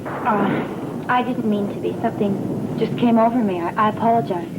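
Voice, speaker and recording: An actress playing a nervous, evasive young woman in a gothic television soap, speaking in halting, stammering phrases. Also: medium pitch, close to an everyday voice. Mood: flustered. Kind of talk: gothic TV soap opera acting. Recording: muffled, noisy old TV soundtrack.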